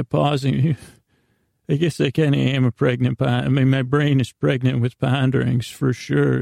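Creaky, mellow man rambling at bedtime. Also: medium pitch, no room sound, studio quality. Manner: halting, self-deprecating, with long pondering pauses. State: tired, worn down. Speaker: podcast host and bedtime storyteller. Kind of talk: rambling, meandering bedtime podcast monologue.

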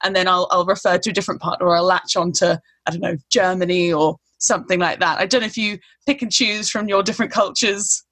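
A woman's voice: lowish voice, fairly even intonation, quick pace, few pauses, fairly bright tone. A woman with a British accent hosting a casual podcast conversation, chatty and swearing offhandedly. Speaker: podcast host.

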